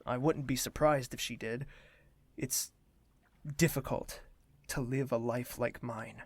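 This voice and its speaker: An actor playing a burdened young prince in an audio drama, speaking formally and introspectively with a weary manner. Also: male voice, medium pitch, steady tone, measured pace, no room sound. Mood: wistful, tired.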